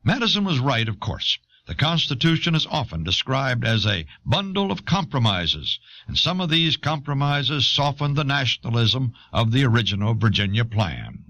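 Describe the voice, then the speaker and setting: Male narrator for educational history audio; bright, highly inflected, measured delivery, dull cassette sound. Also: big pitch swings.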